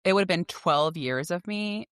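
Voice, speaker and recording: A woman's voice: fairly low pitch, lively intonation, moderate pace, fairly bright tone, clean tone, no echo, studio quality. A woman in her forties co-hosting a podcast in a casual, candid and emphatic chat. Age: 40s. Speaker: podcast co-host.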